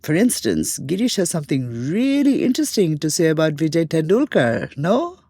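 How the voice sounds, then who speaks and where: A woman's voice: sits low, very animated, moderate pace, warm tone, husky, no echo, studio quality. A woman with an Indian accent co-hosting a podcast, narrating from a script in an articulate, emphatic way. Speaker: podcast co-host.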